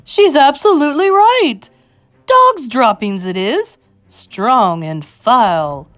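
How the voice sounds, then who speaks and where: Woman acting storybook witch underlings, excitable, disgusted by everything, shrill exclamations, high swooping pitch. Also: normal speed. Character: crowd of excitable, easily disgusted follower witches.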